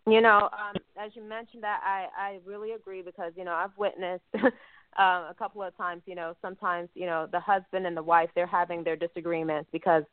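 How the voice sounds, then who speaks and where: A woman evangelist hosting a talk show interview, speaking softly and filling her sentences with "you know". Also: lowish voice, level tone, fairly quick, smooth, no room sound. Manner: soft-spoken, many "you know" fillers. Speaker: host and evangelist. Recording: dry, phone-like line.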